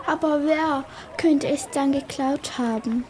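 A woman's voice: high voice, slow, darkish tone, a little hiss or hum. A young female performer playing an earnest, worried girl sleuth in a children's radio play. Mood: questioning.

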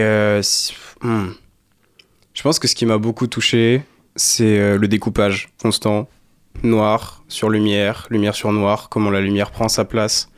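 Young male guest, lowish pitch, reflective and deliberate, descriptive conversational interview delivery. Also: clean tone. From Saint-Malo, France. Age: young adult. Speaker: guest, dancer. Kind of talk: casual interview chat.

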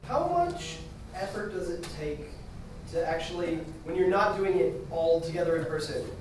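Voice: mid-range voice, animated, normal speed. An audience member asking a spontaneous question at a live convention show. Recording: distant, very echoey hall, faint noise.

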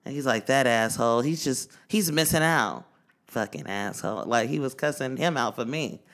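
A man from Oakland talks through a casual podcast story. He uses loose slang and swears freely. His voice has a bright, forceful edge, and his pitch sits in the middle. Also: moderate pace. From East Oakland, California. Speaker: podcast co-host.

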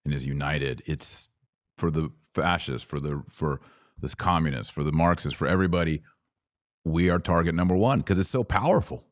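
A man's voice: a bass voice, moderate pace, dry recording, studio quality. A man hosting a podcast, interviewing in a casual, conversational style. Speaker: podcast host.

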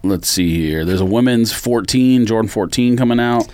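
A man's voice: lowish voice, moderate pace, a little mellow, no echo. Matter-of-fact man in casual podcast chat. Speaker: sneaker podcast co-host.

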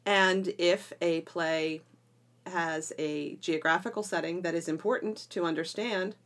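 A woman's voice: lowish voice, steady tone, a little slow, fairly bright tone. A middle-aged woman talking conversationally as a podcast co-host, explaining things with emphatic phrasing. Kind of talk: conversational educational podcast discussion.

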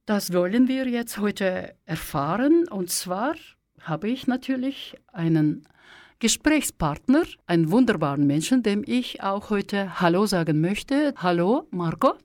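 Woman, radio host, middle pitch, halting delivery with lots of fillers. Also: animated, darkish tone. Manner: hesitant, with frequent fillers. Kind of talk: radio interview.

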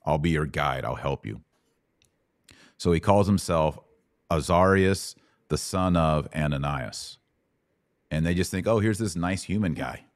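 A man's voice: a bass voice, expressive, unhurried, pauses often, no echo, clean studio sound. A middle-aged man hosting a podcast, casually teaching in a live Bible Q&A and explaining things with paraphrased quotes in everyday words. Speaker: podcast host answering Bible questions.

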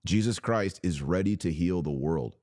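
A man's voice: deep voice, moderate pace, mellow, no room sound, studio quality. An American man hosting a podcast, giving impassioned off-the-cuff religious commentary in a preachy, emphatic way with punchy phrases. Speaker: podcast host taking viewer questions.

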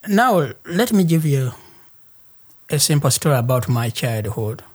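Male interview guest, Ugandan accent, unhurried and halting, medium-pitched storyteller. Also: very animated, breathy edge. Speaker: interview guest, human rights activist.

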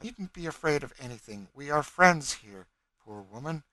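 A gentle, motherly lady, voiced by a male narrator with raised pitch. She is compassionate and soothing, speaking encouragingly as in a classic novel reading. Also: expressive, moderate pace.

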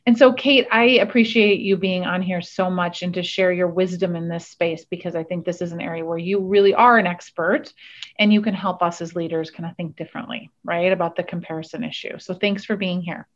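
A woman's voice: lowish voice, steady tone, a little fast. Woman hosting a podcast, earnest and encouraging, chatty, audio a bit lo-fi. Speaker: podcast host. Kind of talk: conversational interview. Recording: slightly dull, lo-fi audio.

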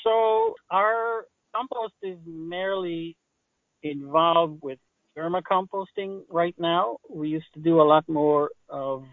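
A man's voice: fairly high pitch, very animated, creaky, no echo. Older Israeli man on a phone line. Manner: halting, with many pauses and fillers. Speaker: guest, urban farmer. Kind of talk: interview answers.

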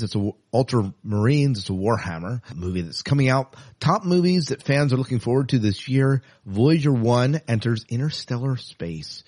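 A man's voice: mid-range voice, a little mellow, dry recording. A man hosting a podcast in a casual, chatty and enthusiastic way. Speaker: podcast host.

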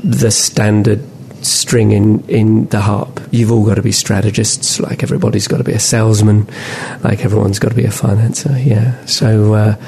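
Low-voiced wry man from England. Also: creaky, slight background noise. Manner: relaxed and wry, laughs easily, with frequent "uh" pauses. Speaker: podcast guest. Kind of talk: casual interview chat.

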